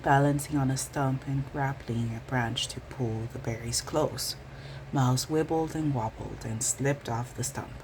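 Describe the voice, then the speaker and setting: A woman reading a children's bedtime story aloud in an expressive storytelling style. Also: a bass voice, unhurried, slight background noise, poor audio.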